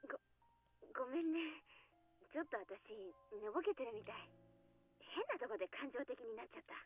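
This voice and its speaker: A woman's voice: a little high, animated, very fast, husky. A woman playing an emotional, hesitant teenage girl in a Japanese visual novel, heard through a telephone filter. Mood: flustered, apologetic. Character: teenage girl, emotional, putting on a brave face. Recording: tinny phone-line filter, music behind.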